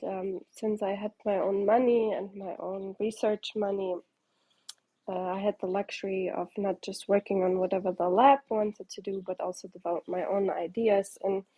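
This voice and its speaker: A woman's voice: medium pitch, dark tone, slightly rough, low-quality recording. A woman from Portugal in her thirties, a startup founder answering interview questions in a rambling way with many ums and restarts.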